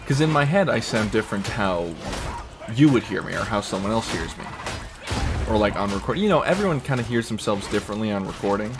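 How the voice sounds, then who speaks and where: A young man with a scratchy throat rambling casually while he plays a video game. He talks with lots of fillers and casual swearing, in a deep, fairly monotone voice. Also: fairly quick, over music. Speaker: gamer commenting while playing. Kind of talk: casual gameplay commentary.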